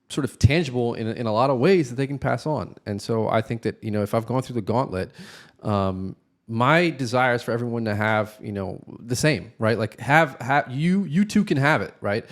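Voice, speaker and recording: A man from New Jersey talking casually as an interview guest, reflective, often checking in with tag questions. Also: medium pitch. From New Jersey, United States. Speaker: podcast guest, real estate developer.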